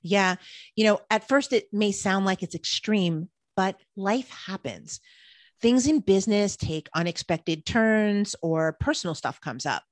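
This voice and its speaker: A woman's voice: mid-range voice, animated, unhurried, no echo, studio quality. A woman co-hosting a podcast who reads scripted points in an easy, upbeat way. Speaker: podcast co-host.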